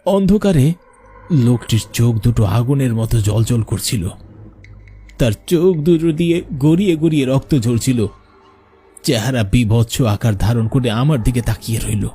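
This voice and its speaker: A man's voice: medium pitch, expressive, normal speed, dark tone, breathy edge, soft background music. A man narrating a scripted cartoon horror story in the first person with suspenseful, dramatic delivery.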